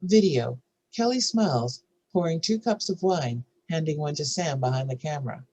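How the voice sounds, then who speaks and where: A woman with a husky voice narrating screenplay stage directions in a scripted reading. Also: low voice, expressive, normal speed, dark tone.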